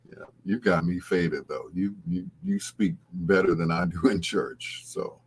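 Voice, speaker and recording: A man hosting a late-night music radio show, chatting casually and informally to his live listeners in a husky voice. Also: low voice, wildly swooping pitch, unhurried. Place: broadcasting on radio and live video stream.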